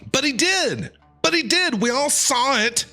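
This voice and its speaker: A man with a bright, high voice streams a game over soft music. His commentary is casual and exclamatory, swinging widely in pitch as he talks back to the characters. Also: expressive, a little slow.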